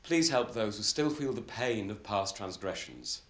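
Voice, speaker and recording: An actor playing a solemn, kindly vicar leading prayer in a measured, earnest way. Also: male voice, fairly low pitch, animated. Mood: solemn, gentle. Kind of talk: public prayer in a TV drama. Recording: echoey church-like room.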